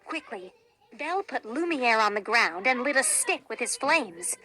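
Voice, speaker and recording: A woman reads a children's storybook with a high, bright voice. Her delivery is expressive and dramatic, taking its time over each phrase. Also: faint music behind. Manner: expressive, deliberate. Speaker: storybook reader.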